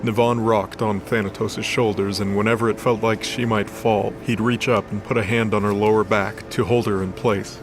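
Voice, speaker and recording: A man narrates a scripted story in a lowish voice. His delivery stays flat and even, with music clearly playing underneath. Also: moderate pace, few pauses.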